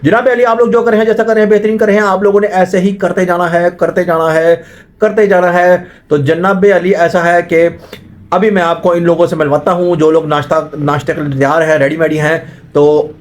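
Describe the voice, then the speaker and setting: A man's voice: high-pitched, level tone, fairly quick. A man with a Pakistani accent hosting his family vlog, teasing his family and speaking to viewers, with a sore throat. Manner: playful, teasing, addresses viewers as "janab". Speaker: channel host.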